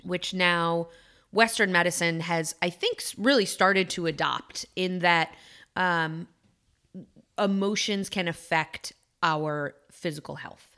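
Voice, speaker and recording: Podcast co-host, woman, 30s, lower register, easygoing rambling delivery. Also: slow, fairly bright tone. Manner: musing, frequent fillers and self-corrections. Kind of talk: casual chat.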